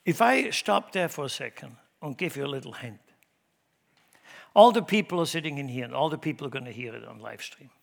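A middle-aged man with a Swiss German accent, preaching a sermon in church, emphatic and shifting from loud to hushed. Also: mid-range voice, expressive, brisk, creaky. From Switzerland. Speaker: pastor.

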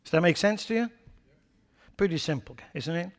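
A man with a Swiss accent preaching a sermon as a pastor, then leading a prayer. He swings between loud, emphatic exhortation and hushed prayer. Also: fairly high pitch, expressive, moderate pace. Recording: long hall reverb with faint music.

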